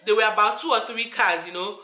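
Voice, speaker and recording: A woman's voice: medium pitch, brisk, sharp tone, roomy sound. A woman telling a personal story to her viewers, earnest and repetitive, often calling out to them directly. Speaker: vlogger.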